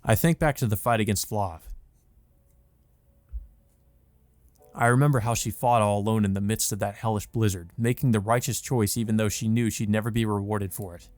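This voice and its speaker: A man's voice: on the low side, fairly even intonation, fast, few pauses, darkish tone, no echo, natural voice. Man playing an earnest, introspective young student. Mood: reflective. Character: earnest, exasperated male student, young and devoted. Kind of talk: visual novel monologue read aloud.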